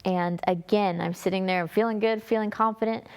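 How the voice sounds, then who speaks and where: A woman's voice: medium pitch, steady tone, fairly quick, darkish tone, clear, resonant tone. A young woman with a North American accent, telling a personal testimony as an interview guest in an earnest, conversational way. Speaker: guest, music ministry singer.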